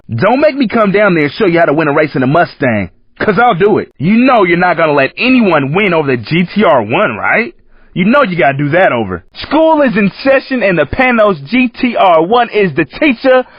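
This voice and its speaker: A man's voice: high-pitched, husky, no room sound, heavily acted. A man voicing a cocky, hip, streetwise American racing coach in a video game, slangy and brash. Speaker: race announcer in a driving game. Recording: muffled lo-fi game audio.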